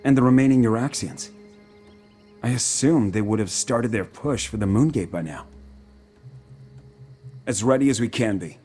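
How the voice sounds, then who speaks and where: An actor playing a measured, resolute military commander in a fantasy video game. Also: male voice, mid-range voice, warm tone, soft background music, lightly acted. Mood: grave, measured.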